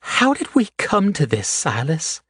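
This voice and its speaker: A man's voice: a little high, wildly swooping pitch, measured pace, a bit bright, husky, dry recording, studio quality, pitched above the performer's own voice, exaggerated voice. A man voicing a tearful, fierce young woman. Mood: pleading. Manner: pleading, fierce.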